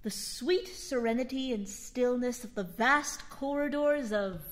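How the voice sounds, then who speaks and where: A woman voicing a boastful, pompous boy adventurer in an old-fashioned radio drama, with archaic, grandiloquent speech that swings from loud to hushed. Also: on the high side, a little slow, lightly acted. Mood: grandiose. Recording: steady background noise.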